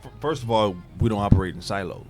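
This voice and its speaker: A man co-hosting a podcast who adds short remarks in halting speech, often repeating words. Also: lowish voice, a little mellow, soft background music.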